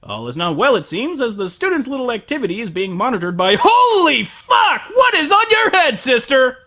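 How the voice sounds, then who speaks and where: A man's voice: extremely high pitch, huge pitch swings, moderate pace, pushed and bright. A man hosting a comedic anime review, sarcastic and profane, ranting at the show.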